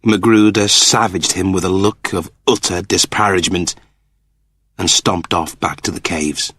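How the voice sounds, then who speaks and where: A man's voice: sits low, fairly even intonation, measured pace, a bit bright, breathy edge, no room sound, clean studio sound. A man narrating a story as a scripted, dramatic audiobook reading.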